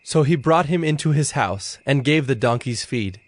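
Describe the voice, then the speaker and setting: A man narrating a dramatized scripture in a measured, solemn, scripted style. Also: mid-range voice, expressive, dry recording. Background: background music.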